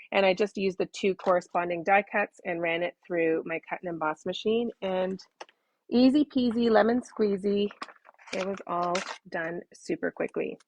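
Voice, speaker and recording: A woman with a slightly hoarse, tired-sounding voice, chatting informally as she hosts a casual step-by-step craft demonstration with self-deprecating asides. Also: fairly low pitch. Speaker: craft tutorial host.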